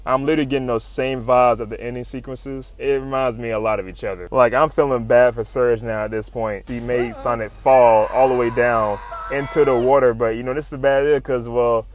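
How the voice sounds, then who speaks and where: A man giving an excited, slangy, profane comic recap as a video host. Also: mid-range voice, fairly quick, few pauses. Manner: slangy, profane, informal, exclamatory.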